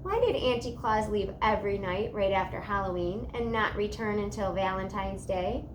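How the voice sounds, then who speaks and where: A woman reading a children's story aloud in an expressive narrating style. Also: medium pitch, normal speed, noisy background.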